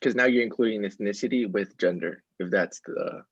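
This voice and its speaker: A young man with a husky, lowish voice explains things in long, rambling stretches. The sound is dry and muffled, like a lo-fi mic. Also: fairly quick, dry recording. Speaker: podcast co-host. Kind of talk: casual discussion.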